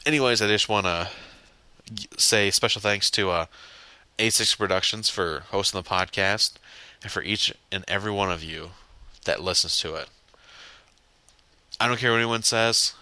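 A man's voice: fairly low pitch, normal speed, sharp tone, no echo. A young man hosting a casual solo podcast, blunt and swearing as he rambles, with a stuffy, congested nose. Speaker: podcast host.

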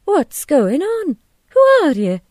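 A woman's voice: sits high, sing-song, moderate pace, warm tone, dry recording, studio quality, pitched above the performer's own voice. A woman voicing a prim, indignant young country wife in an audiobook story, speaking emphatically. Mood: alarmed.

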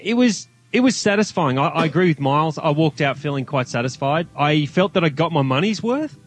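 A man's voice: a little high, lively intonation, a little fast. A man with an Australian accent giving his views as a guest in a casual film review chat. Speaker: podcast guest.

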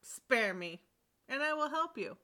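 A woman's voice: high-pitched, lively intonation, unhurried, sharp tone, voice pushed up, heavily acted. A woman voicing a male forest troll who threatens politely and then turns meek, in a storybook reading. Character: menacing yet cowardly male forest troll.

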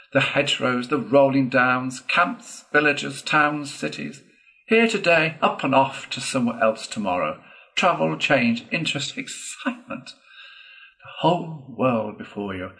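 A man voicing a boastful, excitable gentleman toad in a storybook, declaiming in a pompous, gushing way. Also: mid-range voice, pitched above the performer's own voice, low-quality recording.

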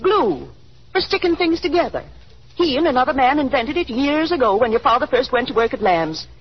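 A woman's voice: high voice, big pitch swings, brisk, husky, full character voice. An actress playing a middle-aged wife and mother in a 1930s radio drama, insistent, bitter and plaintive as she nags. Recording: dull, noisy 1930s radio recording.

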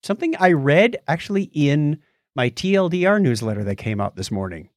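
A man's voice: fairly high pitch, big pitch swings, a little fast, dry recording, studio quality. A man hosting a podcast, chatting casually and explaining science news in a conversational way. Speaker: podcast host.

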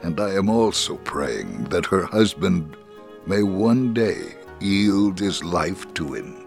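A man's voice: low-pitched, animated, slow, vocal fry, music in the background. A man narrating a scripted first-person faith story as a dramatic testimony.

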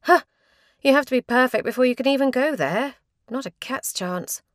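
A woman's voice: sits high, moderate pace, no echo, pitched above the performer's own voice, lightly acted. A woman voicing a skeptical, grumbling dieter with a dry, sarcastic manner in storybook dialogue.

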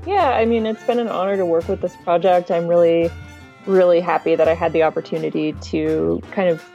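A woman speaking as an interview guest in a casual, thoughtful, sincere and measured way. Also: lowish voice, fairly even intonation, vocal fry, dark tone. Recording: dull lo-fi link, faint music.

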